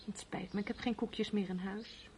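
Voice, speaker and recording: An actress playing a gentle, worried middle-aged wife and mother in a radio drama. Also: mid-range voice, fairly even intonation, normal speed, slight background noise, close to an everyday voice.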